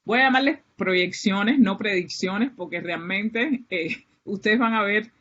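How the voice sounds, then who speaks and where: A woman's voice: mid-range voice, unhurried, fairly bright tone. A woman from Cuba with a Cuban Spanish accent, giving an academic seminar with many fillers and restarts. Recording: muffled, lo-fi online lecture audio.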